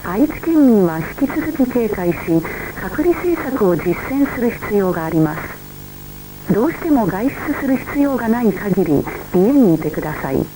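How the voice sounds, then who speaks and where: A woman's voice: mid-range voice, very animated, brisk, long pauses, close to an everyday voice. A woman playing a formal emergency alert announcer, reading out scripted instructions. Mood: grave, formal. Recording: noisy radio-style emergency broadcast.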